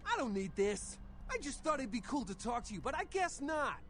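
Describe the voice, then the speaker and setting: An actor voicing an excitable, whiny young male vampire's servant in a video game, gushing and stammering in slangy speech. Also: extremely high pitch, normal speed. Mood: agitated, offended. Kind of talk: video game character dialogue. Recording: game music behind the voice.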